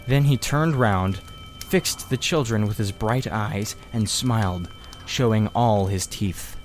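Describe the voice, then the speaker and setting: A man reading a children's story aloud as expressive scripted narration. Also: lowish voice, slow, faint music behind. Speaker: audiobook reader.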